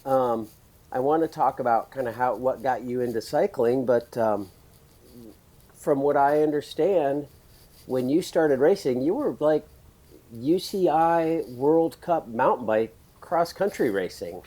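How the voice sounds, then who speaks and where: A man hosting a podcast in a casual, rambling interview chat, his voice raspy from screaming at a concert. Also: medium pitch, animated, measured pace, dark tone. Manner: chatty, rambling, laughs often. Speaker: podcast host.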